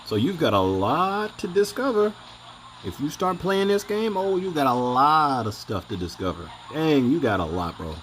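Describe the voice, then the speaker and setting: A man's voice: on the high side, sing-song, normal speed, fairly bright tone, slight background noise. A man casually reacting to a game with playful, joking slang.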